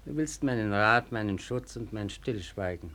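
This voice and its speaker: An actor playing a crafty, self-important chieftain who feigns humility in a German radio play, theatrical and bargaining in manner. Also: male voice, fairly low pitch, animated, normal speed, sharp tone. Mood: crafty, haughty.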